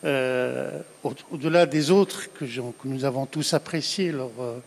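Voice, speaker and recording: A man's voice: mid-range voice, measured pace, vocal fry. A man in an audience asking a spontaneous question into a handed microphone, hesitant and wordy in getting to it. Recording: reverberant hall microphone.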